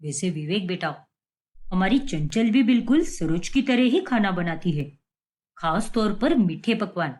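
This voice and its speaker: A woman voicing a friendly, proud middle-aged father-in-law who boasts warmly. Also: mid-range voice, lively intonation, a little slow, pitched below the performer's own voice, close to an everyday voice.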